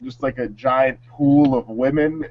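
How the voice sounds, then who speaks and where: A man's voice: mid-range voice, fairly even intonation, measured pace, slight background noise. A man co-hosting a casual chat, giving opinions in informal, slangy talk. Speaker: co-host. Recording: slightly muffled sound.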